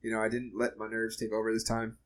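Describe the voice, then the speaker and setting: A man's voice: on the low side, completely flat delivery, fast talker. Laid-back man telling a story. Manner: laid-back, laughing, swears freely. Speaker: jiu-jitsu competitor, guest. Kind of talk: casual storytelling.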